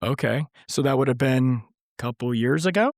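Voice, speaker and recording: A man in his forties hosting a casual family podcast interview, his voice hoarse and scratchy from a cold, easygoing and chuckling often. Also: mid-range voice, lively intonation, moderate pace, dry recording, studio quality. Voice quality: scratchy, gravelly. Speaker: podcast host and father of the guests.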